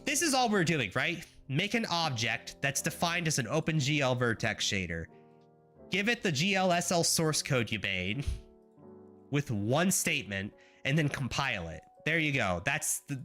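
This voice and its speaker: Male streamer, congested and nasal, bright tense tone, chatty rambling coding commentary. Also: on the high side, big pitch swings, normal speed, faint music behind. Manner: chatty, rambling, wry asides to chat. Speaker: livestreamer learning graphics programming.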